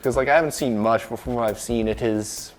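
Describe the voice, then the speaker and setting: A man's voice: medium pitch, fairly quick, slight background noise, poor audio. A man co-hosting a podcast in casual, flippant, joking chat. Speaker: podcast co-host.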